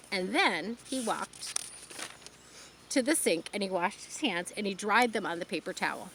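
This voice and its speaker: A woman's voice: medium pitch, a little hiss or hum. A woman reading a children's book aloud outdoors, adding her own explanatory asides. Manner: expressive, adds explanatory asides. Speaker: storyteller. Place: outdoors, rural.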